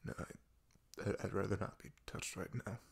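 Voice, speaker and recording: A man playing a depressed boyfriend opening up in an intimate ASMR roleplay, speaking haltingly and hushed, with a husky, gravelly, breathy voice. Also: lowish voice, completely flat delivery, heavily acted. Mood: apologetic, fragile. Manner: halting, hushed, sighing, long pauses. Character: depressed, vulnerable boyfriend confiding long-hidden grief.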